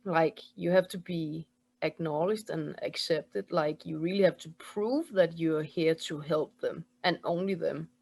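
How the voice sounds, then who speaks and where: A woman's voice: low voice, measured pace, creaky, darkish tone. A woman from Denmark in her forties with a Danish accent, a medium and healer talking earnestly in a casual video-call interview, English as her second language. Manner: earnest, laughs often. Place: indoors at home.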